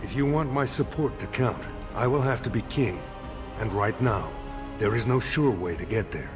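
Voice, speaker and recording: An actor playing a dignified, earnest older lord in a fantasy game, courtly, measured and solemn. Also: male voice, on the low side, expressive, lightly acted. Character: dignified elder lord seeking a throne, earnest. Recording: faint game music behind.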